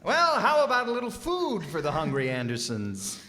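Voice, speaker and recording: A man's voice: sits high, huge pitch swings, moderate pace, bright, forceful tone, exaggerated voice. A man voicing a genial, firm father in a live old-time radio play. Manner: genial, mock-stern. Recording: live stage recording in a hall.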